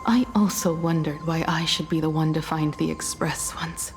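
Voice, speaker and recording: An actress playing a solemn, defiant woman in a video game, speaking in a measured, grave, accusing way. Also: sits low, fairly even intonation, big-room sound, soft background music. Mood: solemn, resolute.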